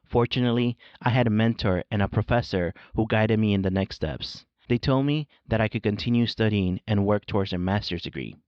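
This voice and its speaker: A man from Mexico, Spanish his first language, reads his own podcast script in a steady, measured way. His voice sits low. The recording is dry and a bit dull, with no room sound. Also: dry recording. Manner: measured, read-aloud delivery. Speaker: podcast host. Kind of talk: scripted solo narration.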